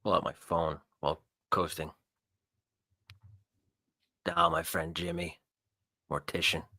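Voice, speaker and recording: A man's voice: deep voice, little pitch movement, slow, sharp tone, breathy edge, no echo, studio quality. A man narrating his character's actions in first person, in short clipped phrases, as a tabletop roleplay player.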